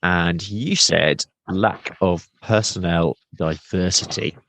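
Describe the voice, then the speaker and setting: A man hosting a podcast, asking his guest questions in a measured, methodical way. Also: low voice, vocal fry, fairly bright tone, no echo, poor audio. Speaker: podcast host. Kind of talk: interview questions.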